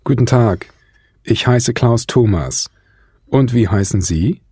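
A man reads scripted answers slowly and carefully. His voice is low, and its pitch rises and falls a lot. The sound is dry and close. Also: animated, warm tone, breathy edge, no room sound. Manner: careful, deliberate pronunciation. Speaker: course speaker voicing dialogue answers. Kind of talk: slow scripted dialogue reading.

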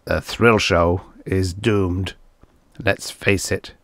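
A domineering producer in a dark audio story, voiced by a male narrator. He is grim and coldly calculating, with a lowish, solemn, harsh delivery in a dry room. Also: fairly even intonation, drawn-out words, dry recording, full character voice. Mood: grim resignation.

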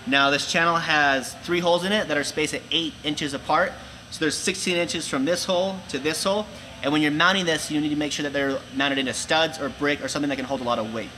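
A young man presenting for a company, giving clear step-by-step instructions in a large indoor room. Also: fairly high pitch, fairly even intonation, fast, bright, forceful tone, slight background noise. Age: young adult. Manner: clear step-by-step explaining with hand gestures. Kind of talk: instructional how-to video.

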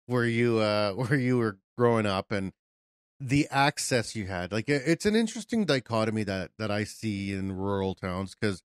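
A man's voice: mid-range voice, dry recording. Chatty male podcast host, dry mic. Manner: jokey, chatty, many fillers. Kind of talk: casual interview chat. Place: home studio, video call. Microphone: studio mic on boom.

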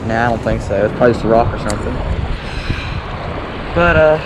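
A man's voice: medium pitch, fairly quick, heavy background noise. A man chatting casually and folksily for an outdoor fishing vlog, half to himself, recorded on a body-worn action camera. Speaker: angler vlogger. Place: outdoors on a grassy riverbank.

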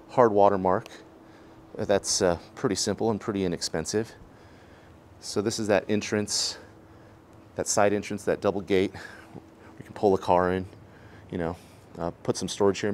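A man giving a relaxed, chatty walkthrough of a house for sale, moving between rooms and the backyard as he films. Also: lowish voice, a little hiss or hum. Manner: relaxed, chatty, lots of filler words. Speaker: real estate agent giving a home tour. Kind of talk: casual walkthrough narration. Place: house, indoors and outdoors.

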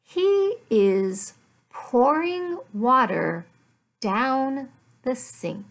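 A middle-aged woman, an English teacher, giving a lesson in deliberate, word-by-word phrases with repeated questions and answers. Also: high voice, expressive, gravelly. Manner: deliberate, word-by-word enunciation for learners. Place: small furnished room at home.

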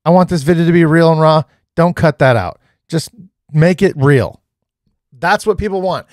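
A man in his thirties talks over a video in a small home studio, keen and coaching, often doubling his words. His voice sits a bit high. The sound is close and dry. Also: moderate pace, no room sound. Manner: energetic, repeats himself, coaching tone. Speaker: real estate content creator reacting to a video. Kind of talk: casual reaction commentary.

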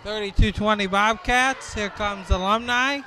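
A man doing live play-by-play of a school basketball game, calling plays in slow clipped bursts with occasional shouts. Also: high voice, heavy background noise. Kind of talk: live sports commentary. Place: school gym with crowd. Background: crowd and bouncing ball.

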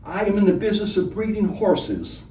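A man voicing a tipsy young gentleman traveller with slurred, genteel phrasing, in a story reading. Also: high voice, very animated, normal speed, voice pushed up. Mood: tipsy, airy. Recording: roomy, distant mic, background noise.

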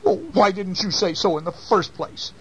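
A woman voicing an agreeable cricket from a children's storybook, exclaiming brightly. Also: on the low side, moderate pace, slightly rough, muffled recording, voice pushed up. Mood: surprised, agreeable.

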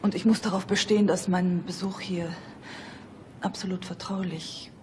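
An actress playing a nervous married woman in a radio play, speaking haltingly and hesitantly. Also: on the low side, fairly even intonation, a little mellow, slight background noise, close to an everyday voice. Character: nervous, hesitant architect's wife insisting on discretion. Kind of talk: German radio play acting.

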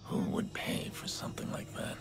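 An actor playing a terse, guarded vigilante hacker in a video game, with a gravelly murmur. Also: male voice, normal speed, in a whisper, loud music behind the voice, lightly acted. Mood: businesslike, brooding. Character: gruff brooding vigilante hacker, grown man, guarded and determined.

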